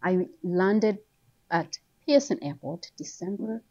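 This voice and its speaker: Low husky woman from somaliland telling her story, mellow tone, bit lo-fi. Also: slow. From Somaliland, raised in Kenya and England. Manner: animated storytelling, rises high when excited. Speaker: author guest. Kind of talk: conversational interview. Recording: dull lo-fi sound.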